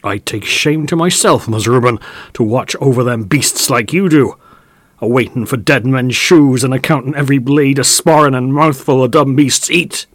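A man's voice: medium pitch, moderate pace, no echo, clean studio sound, lightly acted. A man voicing a stubborn, pleading old male farmhand who speaks in a broad country dialect.

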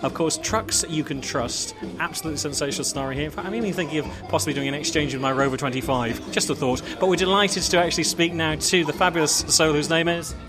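A man's voice: a little high, fast, few pauses. A man hosting a live outdoor radio broadcast, interviewing people in an effusive, wordy way with flowery phrasing. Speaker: radio host and roving interviewer. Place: outdoor showground at a truck festival. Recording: outdoor live event with music behind.

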